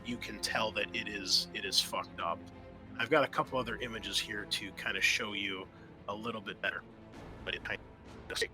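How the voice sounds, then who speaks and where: A man's voice: mid-range voice, sharp tone. A man speaking as game master, casually explaining a tabletop horror game to his players.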